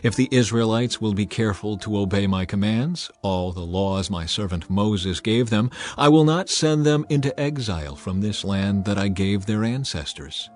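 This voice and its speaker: A man reading scripture aloud as narrator in a measured, solemn way. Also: on the low side, expressive. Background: soft music.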